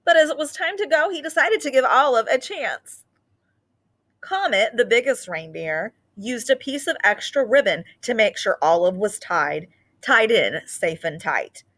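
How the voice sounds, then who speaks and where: A woman reads a children's picture book aloud as a storyteller, with animated delivery and drawn-out emphasis on key words. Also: a little high.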